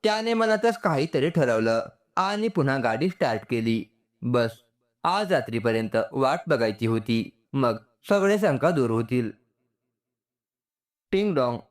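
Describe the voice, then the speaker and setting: A man reading a scripted story aloud as a storyteller. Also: mid-range voice.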